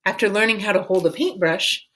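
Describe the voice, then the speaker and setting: A woman narrating a storybook as an expressive read-aloud. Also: medium pitch, brisk, rough recording. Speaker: storyteller reading a book aloud.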